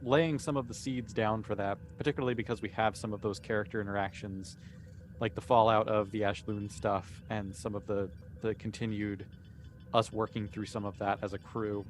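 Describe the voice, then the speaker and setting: Male panelist, somewhat low pitch, measured and hesitant chat, faint noise on the call. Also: steady tone. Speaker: cast member on panel. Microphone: video call. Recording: noisy video call audio.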